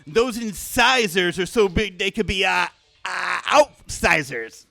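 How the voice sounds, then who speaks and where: A shameless, muddled old quack dentist for a comic radio play. The voice is high, bright and crackly with age. He hems, mutters and repeats himself absent-mindedly. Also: animated, takes it slowly, vocal fry, soft background music, full character voice. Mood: rambling, muddled.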